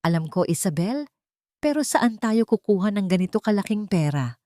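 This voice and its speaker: A woman's voice: mid-range voice, big pitch swings, moderate pace, warm tone, dry recording, clean studio sound, pitched above the performer's own voice, close to an everyday voice. Woman acting a worried grown son. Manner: anxious. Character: worried adult son, strained businessman.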